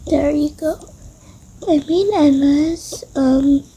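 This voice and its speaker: A young-sounding female creator softly narrating an improvised toy-game roleplay, often dropping into whispers. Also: sits high, takes it slowly, slight background noise, rough recording.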